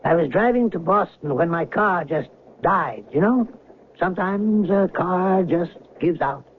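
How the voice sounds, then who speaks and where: An actor playing a mild, polite and guarded history teacher in a 1970s radio drama, courteous and a little hesitant. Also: male voice, high voice, expressive, measured pace, narrow, tinny audio. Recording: thin band-limited vintage radio recording, hissy.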